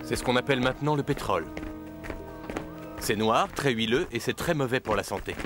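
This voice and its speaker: A man voicing a protective young cartoon hero who explains things gently, like an older brother. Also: medium pitch, fairly quick, lightly acted. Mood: watchful. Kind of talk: French cartoon voice acting. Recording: music behind the voice.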